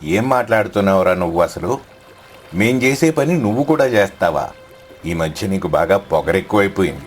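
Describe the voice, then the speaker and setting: A man's voice: low voice, lively intonation, fairly quick, faint music behind. A man voicing a haughty village fisherman in a moral story, scolding and domineering. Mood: angry, scornful.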